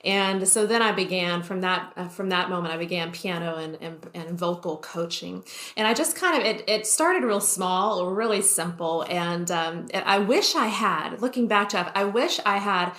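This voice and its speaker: A woman's voice: on the low side, normal speed. Chatty woman in an echoey room. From Taiwan. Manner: warm, chatty, laughs often, many restarts. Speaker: vocal coach, podcast guest. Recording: roomy echo.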